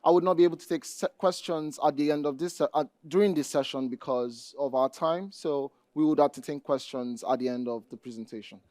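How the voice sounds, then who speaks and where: A man with a Nigerian accent giving a conference presentation, speaking deliberately and addressing the audience directly. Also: a little high, a little mellow, no room sound, studio quality. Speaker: conference speaker, database administrator.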